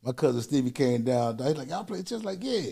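Animated middle-aged man telling stories. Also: mid-range voice, fairly quick, vocal fry, husky. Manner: animated storyteller, loud bursts when quoting himself, frequent laughter. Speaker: podcast host. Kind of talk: casual chat, storytelling.

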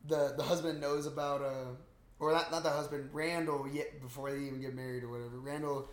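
A man co-hosting a casual podcast, retelling a film in slangy, swear-heavy, animated talk. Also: on the high side, a little fast. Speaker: podcast co-host. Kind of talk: casual movie recap chat. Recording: roomy, echoey sound.

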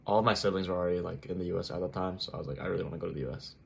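A young man from Thailand, a college student being interviewed, talks in a relaxed, rambling way with plenty of "like" fillers. His voice is very deep and he moves at a brisk pace. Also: gravelly, a little hiss or hum. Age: young adult. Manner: easygoing, heavy use of "like" fillers. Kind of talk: casual interview chat.

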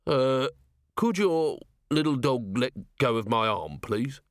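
A man's voice: mid-range voice, expressive, slow, no echo, studio quality. A man voicing a nervous petty thief in a storybook, anxious, pleading and eager to appease. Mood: bewildered.

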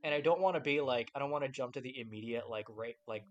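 A man's voice: mid-range voice, fast, dull audio. A man hosting a podcast in a casual chat, rambling and playful with lots of restarts. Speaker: podcast host.

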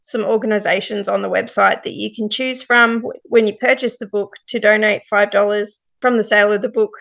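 A woman presenting a radio chat, friendly and quick to laugh. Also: medium pitch, steady tone, vocal fry, fairly bright tone. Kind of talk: casual radio interview.

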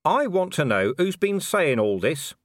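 A man's voice: a little high, very animated, a little slow, dry recording, studio quality, voice pushed up. A man voicing a blunt, sceptical male commander in an audiobook, sardonic and exasperated in manner. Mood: irritated, guarded. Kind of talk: audiobook character dialogue.

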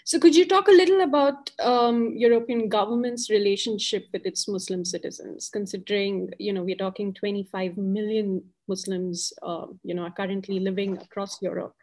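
A woman's voice: medium pitch, steady tone, moderate pace, few pauses, darkish tone. A woman journalist interviewing a guest with earnest, probing questions.

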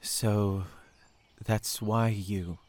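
An actor playing a grieving, shaken young man in an audio drama, hurt and guarded, stammering haltingly through his words. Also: on the low side, fairly even intonation, darkish tone. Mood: subdued, wary.

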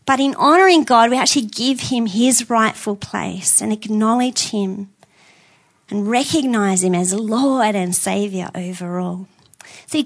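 A woman preaching a conversational sermon in a church, earnest, with self-deprecating asides. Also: on the high side. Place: church auditorium with screens.

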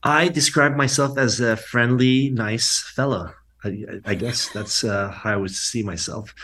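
A middle-aged man from Iran and the United States, telling anecdotes casually as an interview guest, often pausing to find words. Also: medium pitch, bright, forceful tone.

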